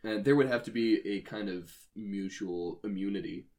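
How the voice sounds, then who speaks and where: Podcast co-host, male, low register, talks through ideas in an explanatory, informal way. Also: moderate pace, darkish tone. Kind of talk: casual chat, speculative brainstorming about creatures.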